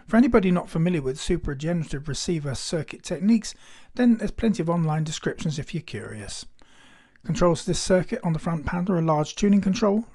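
A man's voice: on the high side, darkish tone, husky. A man giving scripted narration for a hobby electronics demonstration.

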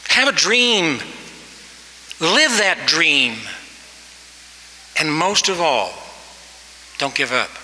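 Bright-voiced older man exhorting graduates. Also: on the high side, sing-song, very slow, long pauses, a little hiss or hum. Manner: earnest, exhorting, rising emphasis. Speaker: commencement speaker. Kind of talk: commencement address. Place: large hall. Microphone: podium mic. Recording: reverberant hall, faint ambience.

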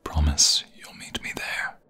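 A man playing a tender young vampire fiancé in an intimate ASMR roleplay, with a breathy, husky, often whispering voice and halting, sentimental, poetic phrasing. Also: pushed and bright. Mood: soft, hopeful.

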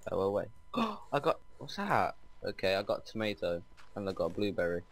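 A man's voice: on the low side, very animated, normal speed. A man chatting casually and swearing freely while playing a block-building game with a friend. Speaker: Minecraft let's play host. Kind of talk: casual gaming chat with a friend.

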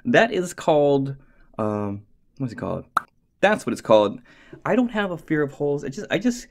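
A man doing a goofy, excitable watch strap review at his desk, talking to himself and dropping into whispers. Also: fairly high pitch, moderate pace. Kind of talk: casual unboxing review. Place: indoors at a desk.

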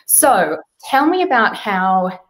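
Woman, 30s, mid pitch, enthusiastic host, chatty, home video call. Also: sing-song, takes it slowly, a bit bright, rough recording. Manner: enthusiastic, chatty, laughs often. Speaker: interviewer, host. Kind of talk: casual video-call interview. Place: home room.

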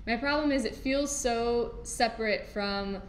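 A young woman with a slightly high voice chats casually as a co-host. Her manner is animated and loose, with lots of filler "like"s. The sound is echoey, as in a big workroom. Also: unhurried. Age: young adult. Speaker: co-host of a mill's video podcast. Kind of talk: casual chat. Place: indoors in a large mill workshop with machinery. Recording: roomy, echoey workshop sound.

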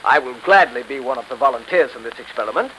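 A man's voice: mid-range voice, lively intonation, fast talker, sharp tone. An actor playing an indignant, self-assured church committeeman in 1930s radio style. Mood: resolute. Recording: slightly noisy 1930s radio recording.

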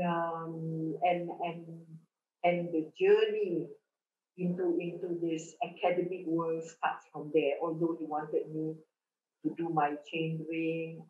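A Malaysian woman in her sixties gives thoughtful, slow answers filled with pauses and fillers. Her voice comes through a thin, phone-like video call line. A roomy echo and a faint hiss sit behind it. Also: deep voice, little pitch movement. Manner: slow and reflective, many fillers. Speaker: guest. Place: room with a leather sofa.

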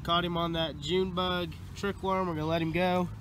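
A man's voice: high voice, flat delivery, moderate pace. A teenage fisherman, giving laid-back running commentary while he casts at a neighborhood pond, recorded by a camera set some distance away. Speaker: fishing vlogger. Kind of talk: casual fishing commentary. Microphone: camera on a tripod, some distance away. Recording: outdoor camera audio, loud background noise.

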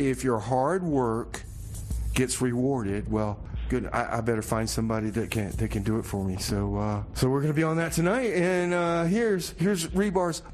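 A man hosting a radio show with casual comedic banter and song announcements, rambling and halting as he goes. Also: medium pitch, a little mellow. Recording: clear background noise.

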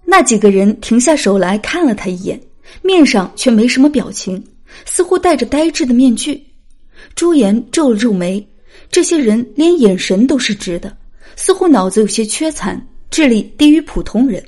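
A woman narrates an audiobook in a high, very soft-toned, mellow voice. Her delivery is dramatic, scripted storytelling. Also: animated, normal speed.

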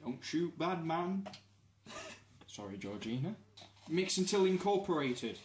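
A man's voice: a little high, big pitch swings, takes it slowly, roomy sound. A young man with a Midlands English accent, cracking jokes while baking at home. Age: young adult. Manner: wisecracking, mock-announcer asides. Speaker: cooking vlog co-host. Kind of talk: casual jokey cooking chat. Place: small home kitchen. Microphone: camera mic on the counter. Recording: noisy home video.

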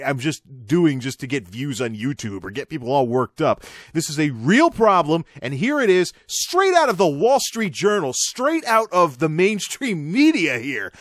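A man's voice: medium pitch, fairly bright tone, dry recording, studio quality. A man giving an informal, opinionated radio-style monologue in an exasperated, emphatic, sardonic manner. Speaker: commentator, host.

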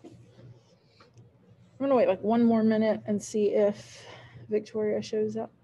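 A woman's voice: mid-range voice, level tone, measured pace, mellow, a little hiss or hum. A woman in her thirties, a teacher chatting casually with students on a video call, musing aloud and laughing easily. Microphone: laptop webcam, video call.

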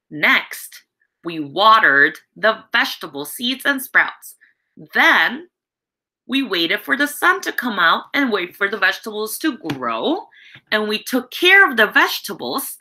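Woman, middle-aged, bright voice, slow pace, children's read-aloud, explanatory, addresses listeners directly. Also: medium pitch. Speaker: teacher reading a picture book aloud. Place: home room with bookshelves. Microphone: video call.

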